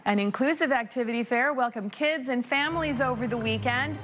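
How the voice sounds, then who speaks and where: A woman's voice: on the high side, fairly quick, a bit bright, a little hiss or hum. A woman anchoring a local evening newscast, reading scripted stories in an upbeat, friendly way. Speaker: news anchor.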